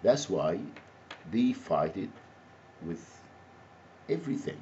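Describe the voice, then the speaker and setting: A man from Egypt with an Egyptian Arabic accent, speaking off the cuff in a halting, repetitive, rambling monologue. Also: deep voice, big pitch swings, warm tone. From Alexandria, Egypt. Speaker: activist, self-described advocate. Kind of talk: impromptu spoken monologue to camera. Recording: muffled audio with faint background noise.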